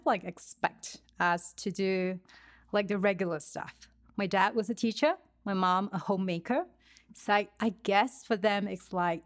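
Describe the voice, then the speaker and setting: A woman from Singapore with a Singaporean English accent, a wellness coach being interviewed and talking reflectively about her life, with frequent fillers and restarts. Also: mid-range voice. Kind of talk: conversational interview.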